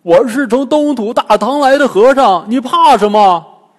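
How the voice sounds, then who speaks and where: A greedy pig-monk booms out his lines, high and brash, as a male storyteller voices him. The voice rings in a long, echoing reverb. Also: measured pace. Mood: blustering. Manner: loud and blustering.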